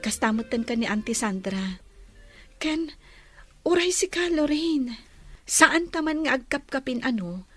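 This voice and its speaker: A woman acts out a grateful young mother with a high voice and an Ilocano accent. She speaks earnestly, as in a family audio drama, over faint music. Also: lively intonation, measured pace, pauses often, no room sound. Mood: sincere, reflective.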